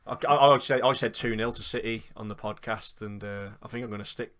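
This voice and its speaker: A man's voice: on the low side, fairly even intonation, a little fast, a bit bright. A man, hosting a casual football chat in a chatty way and swearing now and then. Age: 20s. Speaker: podcast host.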